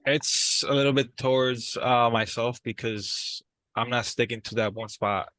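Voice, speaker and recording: A man playing a frustrated, unsure brother in a dramatized therapy session, talking in a halting, hesitant way. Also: medium pitch, steady tone, pushed and bright, no room sound. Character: frustrated, unsure brother struggling to keep a job.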